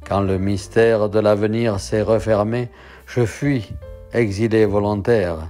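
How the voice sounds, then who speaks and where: A man reads poetry in a measured, deliberate literary recitation. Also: fairly low pitch, warm tone. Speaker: poetry reader. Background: instrumental music.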